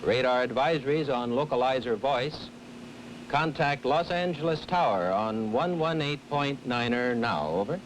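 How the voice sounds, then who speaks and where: A man playing a steady radar approach controller, giving precise instructions in formal radio phrases. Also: medium pitch, measured pace, natural voice. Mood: steady, focused. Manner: methodical, even, precise. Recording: noisy old film soundtrack.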